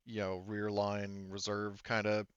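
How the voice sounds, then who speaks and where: A man's voice: on the low side, completely flat delivery, a little slow, a bit bright, clean tone, no room sound. A man playing a chatty, upbeat female infantry soldier in a tabletop roleplay, rambling and hesitant, on slightly muffled audio. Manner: rambling, hesitant, filler-heavy.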